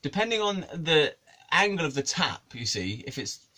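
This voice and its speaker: A man's voice: on the high side, sing-song, moderate pace, bright, forceful tone. A man, a musician rambling through a comic monologue to camera, swearing and laughing at his own jokes. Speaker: musician and video maker.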